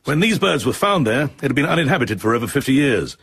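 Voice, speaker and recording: An actor playing an efficient, level-headed military chief of staff in a radio drama, British, with a brisk, precise way of explaining. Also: male voice, mid-range voice, big pitch swings, a bit bright, slightly rough, no room sound, exaggerated voice. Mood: briskly informative.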